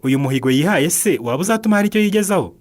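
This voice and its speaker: A man's voice: high-pitched, expressive, very fast. A Rwandan man announcing a radio drama in scripted, promotional narration. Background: music.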